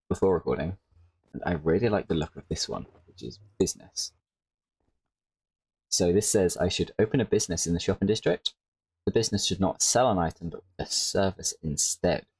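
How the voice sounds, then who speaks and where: A man with a very low voice and a slight hoarseness talks through his gameplay in a relaxed, rambling way, often adding "like" and correcting himself. He sounds close to the mic in a dry room. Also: no room sound, poor audio. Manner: rambling, self-correcting, with frequent "like". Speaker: Minecraft creator. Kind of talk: casual gameplay commentary.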